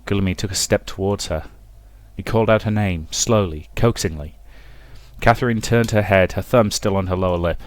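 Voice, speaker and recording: A man's voice: deep voice, moderate pace, no echo. A man narrating a visual novel as a let's play host, reading the story dramatically.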